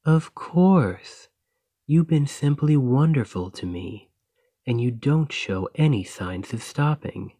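A man playing a devoted, gentle boyfriend in a romantic ASMR roleplay, soft and husky, with a tender, reassuring manner. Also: mid-range voice, lively intonation, slow pace, no echo, heavily acted. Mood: loving, earnest.

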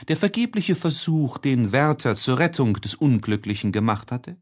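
Expressive man narrating, widely varied pitch. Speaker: audiobook narrator.

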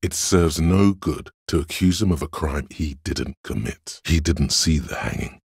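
Gravelly, bottomless-voiced inner Logic. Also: male voice, lively intonation, no room sound, full character voice. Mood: firm. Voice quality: very deep, gravelly, husky. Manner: measured, dry, cerebral, condescending. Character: cold analytical inner voice of logic in a detective's mind. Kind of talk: video game narration in second person.

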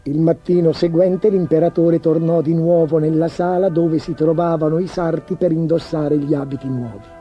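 A man's voice: fairly high pitch, fairly even intonation, moderate pace, hardly any pauses. A man narrating a scripted fairy tale for children as a storyteller. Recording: dull, muffled lo-fi track. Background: music.

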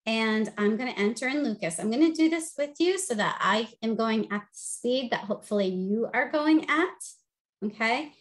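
A middle-aged woman with a high-pitched voice, teaching a webinar over a video call with an upbeat, friendly delivery full of "um"s. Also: expressive, normal speed. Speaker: presenter and teacher. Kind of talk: instructional webinar presentation. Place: home office, video call.

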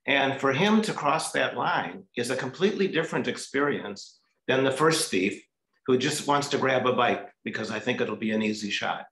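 An older man giving thoughtful film analysis on a video call from home, deliberately searching for words. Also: medium pitch, animated, a bit bright. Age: older, 60s or 70s. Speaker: discussion participant. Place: room at home, indoors. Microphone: video call, computer at some distance. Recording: roomy, echoey video-call audio.

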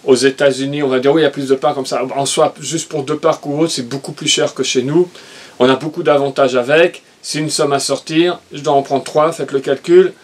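A middle-aged man co-hosting a casual chat, talking in an animated, opinionated way while gesturing. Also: medium pitch, fairly quick. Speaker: co-host, father. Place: room with shelves of toys and merchandise.

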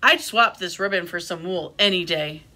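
A woman's voice: on the low side, normal speed, pushed and bright. A woman voicing a wishful, fussy nesting crow in a children's storybook, explaining what it would like. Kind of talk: children's storybook read-aloud.

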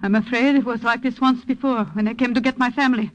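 A woman's voice: on the high side, fairly even intonation, quick pace, natural voice. A woman acting a weary, fearful middle-aged Dutch aunt in an old wartime radio drama, speaking sorrowfully. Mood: afraid. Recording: noisy old-time radio recording.

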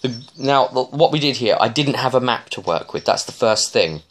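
A man in his thirties giving a casual, rambling recap as a game master, with halting, digressive phrasing and frequent restarts. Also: medium pitch, lively intonation, very noisy. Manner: halting, digressive, often restarting mid-sentence. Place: small living room, indoors.

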